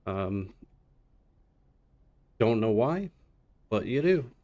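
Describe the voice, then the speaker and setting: A man's voice: on the low side, very animated, extremely slow, dark tone. A man commentating a live speedrun in a casual, playfully sarcastic way. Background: game music and dialogue.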